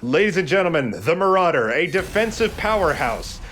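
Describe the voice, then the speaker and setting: A man's voice: fairly high pitch, big pitch swings, normal speed, a bit bright, slight background noise. A man commentating a video game casually, explaining things with mock-announcer flourishes. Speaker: let's play host and gamer.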